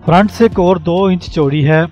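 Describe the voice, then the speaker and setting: High-pitched man narrating a how-to. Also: normal speed, a little mellow, highs cut off. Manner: plain step-by-step explaining. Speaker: repair tutorial host. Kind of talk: scripted instructional voice-over. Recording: music behind the voice. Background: background music.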